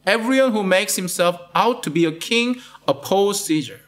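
Casting: middle-aged Malaysian-accented male pastor, high lively pitch, studio sermon, keeps checking "right?". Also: normal speed. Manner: asks "right?" after most points, repeats words. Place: studio with plain blue backdrop.

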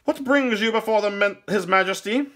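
A man's voice: high-pitched, moderate pace, fairly bright tone, voice pushed up. A man voicing a young minstrel who plays royal courtier in a curt, declamatory, courtly way. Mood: theatrical.

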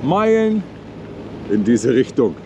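Male vlogger, fifties, slow and halting short phrases, animated intonation, outdoor narration on a handheld camera. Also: mid-range voice. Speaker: sailing vlogger. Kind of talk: travel vlog narration. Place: outdoors, on a sailboat and hiking trails. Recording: noisy outdoor recording with boat ambience.

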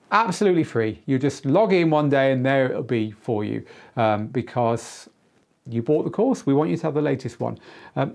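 A man's voice: a little high, darkish tone. A middle-aged man hosting a live Q&A, explaining things casually and emphatically in an informal, chatty way. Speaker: host and DJ tutor. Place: small indoor studio. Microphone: lapel mic.

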